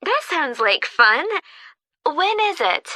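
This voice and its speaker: A woman with a British accent playing a keen, friendly newcomer in a scripted listening-test conversation, speaking politely and eagerly with clear enunciation. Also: high voice, sing-song, slow pace, bright, forceful tone, dry recording, exaggerated voice. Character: keen, friendly female newcomer asking to volunteer. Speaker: actor in a listening test dialogue.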